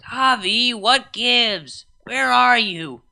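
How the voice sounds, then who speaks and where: Woman doing a loud, whiny, drunk young pony gal. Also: a little high, lively intonation, extremely slow, gravelly, pitched above the performer's own voice, exaggerated voice. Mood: frustrated pleading. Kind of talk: comedic storybook character voice.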